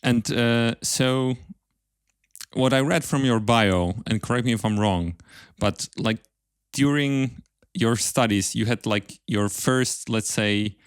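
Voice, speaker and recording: A man's voice: medium pitch, slow, smooth, no room sound. Man, close dry sound. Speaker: podcast host, interviewer. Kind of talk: conversational interviewing.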